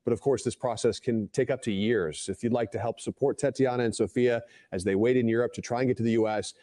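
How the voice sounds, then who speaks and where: A man reading a scripted news report in a measured, formal way. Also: mid-range voice, fairly even intonation, warm tone, no room sound, clean studio sound.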